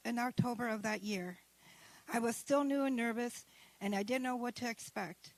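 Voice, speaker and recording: Woman, higher pitch, dry close sound, reads her own essay at a podium, careful with small restarts. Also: no echo, poor audio. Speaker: graduating adult student. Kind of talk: reading her own essay aloud at a ceremony. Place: ceremony hall.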